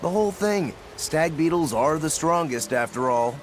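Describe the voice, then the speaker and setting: Um ator interpretando um espadachim descontraído e alegre, de fala franca e brincalhona. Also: male voice, fairly high pitch, lively intonation, normal speed, slight background noise, close to an everyday voice. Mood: excited. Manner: casual, blunt, playful. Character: laid-back cheerful male swordsman. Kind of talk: game dub acting.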